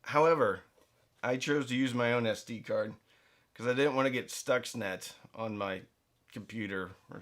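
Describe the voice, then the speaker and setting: A man's voice: mid-range voice, fairly bright tone. Male tech review host, mid-range voice, conversational and playful, stressing key words as he explains. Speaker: reviewer and host of a budget audio gear channel. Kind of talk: casual product review talk to camera.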